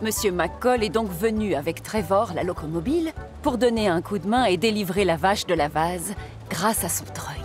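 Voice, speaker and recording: A woman's voice: medium pitch, normal speed, soft background music. A woman narrating a children's story in a scripted storytelling style.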